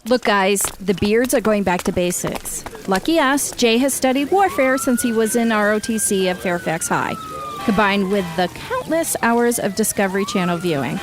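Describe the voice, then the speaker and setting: A woman's voice: medium pitch, expressive, normal speed, music in the background, natural voice. A woman playing a decisive, urgent survivalist neighborhood leader who gives orders in a radio drama. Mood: urgent, explaining.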